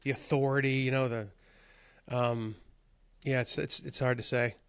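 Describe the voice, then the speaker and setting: A man's voice: mid-range voice, flat delivery, fairly bright tone, clear, resonant tone, no echo. Curious male podcast host, dry sound. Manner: curious, quick follow-up questions. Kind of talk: casual interview conversation.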